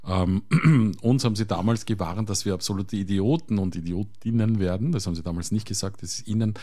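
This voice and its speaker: Low, dry-recorded Austrian man, casually interviewing. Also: fairly even intonation, brisk, dark tone, dry recording. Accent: Austrian German. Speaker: interviewer, radio host.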